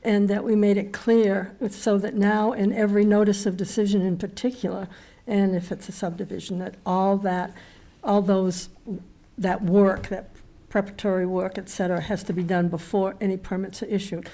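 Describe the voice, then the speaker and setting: Woman chairing a town board, slightly raspy. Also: mid-range voice, flat delivery, normal speed, warm tone. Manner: hesitant, repeats words while thinking. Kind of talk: municipal meeting discussion. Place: town meeting room.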